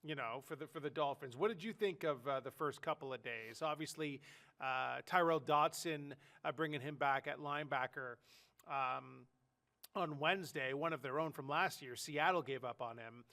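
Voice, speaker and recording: A man's voice: on the high side, moderate pace, bright, forceful tone. A man co-hosting a sports podcast, nasal from a bad cold, talking casually with lots of fillers and restarts.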